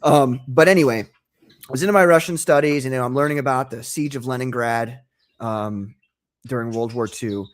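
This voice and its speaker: A man's voice: medium pitch, a little fast. Enthusiastic, rambling male comic creator. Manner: enthusiastic storyteller, rambling, laughs easily. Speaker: guest, comic book creator. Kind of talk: casual interview chat.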